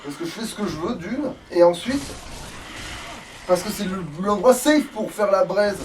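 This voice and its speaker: A man's voice: high-pitched, animated, moderate pace, distant mic, background noise. A man giving casual, sweary and exasperated commentary while he plays a video game. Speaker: let's player playing the game. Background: video game music.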